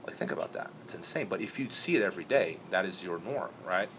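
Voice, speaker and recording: A man who grew up in Brazil, rambling in a relaxed interview with many restarts and fillers. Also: deep voice, quick pace, noisy background. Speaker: interview guest. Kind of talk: casual interview talk.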